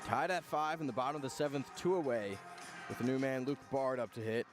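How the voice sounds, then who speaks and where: A man delivers live baseball commentary from a ballpark in a mid-pitched voice. He sets out the game in short, measured phrases and leaves pauses between them, while stadium music plays clearly behind him. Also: steady tone. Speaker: radio play-by-play announcer. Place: press box at an outdoor ballpark.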